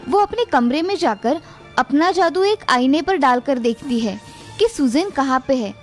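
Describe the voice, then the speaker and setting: A woman narrating an animated children's story in a steady, clear storytelling manner. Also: high-pitched, unhurried, soft background music.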